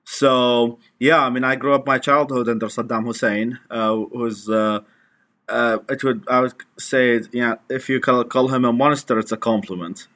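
Bright-voiced man in his twenties. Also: medium pitch, fairly even intonation. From Iraq. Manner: halting, with many restarts and fillers. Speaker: guest, activist. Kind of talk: conversational interview.

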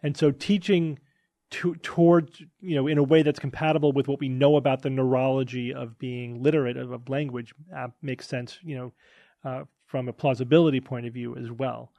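A man's voice: medium pitch, steady tone, moderate pace, vocal fry, mellow, dry recording. A man in casual group discussion, explaining points emphatically. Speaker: podcast host.

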